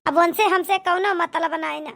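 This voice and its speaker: A woman's voice: very high voice, steady tone, moderate pace, vocal fry, a bit bright, heavily acted. A woman playing a grumbling village wife in an amateur cartoon, with an Awadhi accent and a complaining, gossipy manner. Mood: resentful. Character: grumbling village wife and resentful daughter Poonam. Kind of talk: amateur comedy cartoon dialogue in rural dialect.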